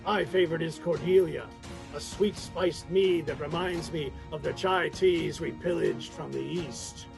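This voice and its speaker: A man playing a theatrical Viking warrior in a comedic scripted radio ad, with a gravelly voice. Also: a little high, measured pace, over music, rough recording. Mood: mock-solemn, hearty.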